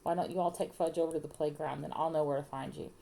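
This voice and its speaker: Storybook mother, acted by a reader: fretful, doting woman, coaxing manner, creaky and mellow. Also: sits low, fairly even intonation, fast.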